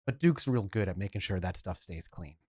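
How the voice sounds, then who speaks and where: A man's voice: sits low, lively intonation, a little fast, dark tone, no room sound, studio quality. A man narrating as storyteller of a tabletop game, setting scenes in detail.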